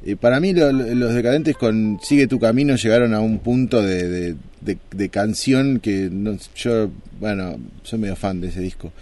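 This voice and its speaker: A man's voice: lowish voice, moderate pace, hardly any pauses, a little mellow. A man, a co-host asking long, casual, rambling questions as a fan. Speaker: co-host, interviewer.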